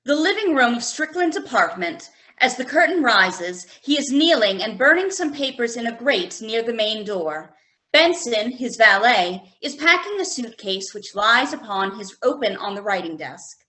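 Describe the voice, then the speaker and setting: A woman's voice: a little high, big pitch swings, moderate pace, pushed and bright. A woman announcer reading scripted introductions and stage narration for radio plays.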